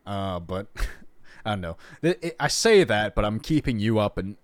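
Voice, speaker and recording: A man's voice: lowish voice, very animated, moderate pace, no echo. A man hosting a casual podcast interview, rambling with frequent restarts and laughter. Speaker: podcast host.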